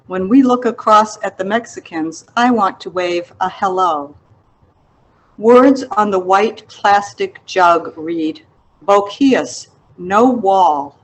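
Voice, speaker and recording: A woman reads from her own literary memoir at a slow pace. Her voice sits at an average pitch for a woman. The delivery is deliberate and reflective, as an author presenting an excerpt. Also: poor audio. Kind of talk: literary memoir narration, read aloud.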